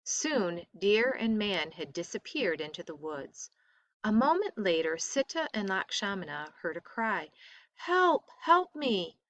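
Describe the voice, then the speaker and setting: A woman reading a story aloud expressively, with deliberate, dramatic emphasis. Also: medium pitch, big pitch swings, no echo.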